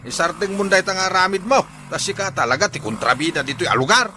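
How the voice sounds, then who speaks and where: A man's voice: high-pitched, fast, sharp tone, slight background noise. A man with an Ilocano accent playing a husband who lectures his wife in a radio drama. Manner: scolding, lecturing.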